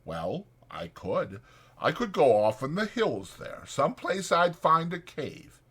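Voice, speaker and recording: Man doing a simple-minded grown man, childlike, eager to please, naive rural American talk, raised pitch, swooping intonation, audiobook character. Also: sing-song, slow pace, fairly bright tone, slightly rough, heavily acted. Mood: wounded.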